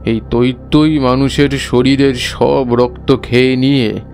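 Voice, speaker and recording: Man acting a slow, grave village schoolmaster. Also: mid-range voice, flat delivery, vocal fry, a little mellow. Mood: grave warning. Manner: emphatic, explanatory, repeating himself. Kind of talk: dramatized animated horror story. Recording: faint music behind the voice.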